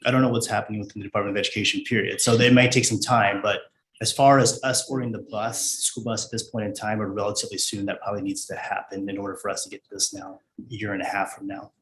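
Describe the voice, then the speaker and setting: A man speaking as a school district finance official at a public meeting, in a measured, formal administrative way with hesitant pauses. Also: fairly low pitch, little pitch movement, fairly bright tone. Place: indoor board meeting room. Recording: echoey meeting room with background noise.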